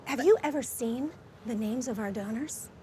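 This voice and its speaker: A woman's voice: a little high, normal speed, warm tone, lightly acted. A woman acting a pissed-off, coldly menacing clinic boss in a film drama. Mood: cold anger. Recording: film clip with noisy ambience.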